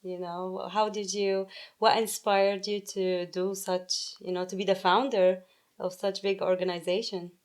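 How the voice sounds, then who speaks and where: A woman's voice: mid-range voice, flat delivery, unhurried. A woman hosting a casual interview, asking short, curious questions. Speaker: interview host.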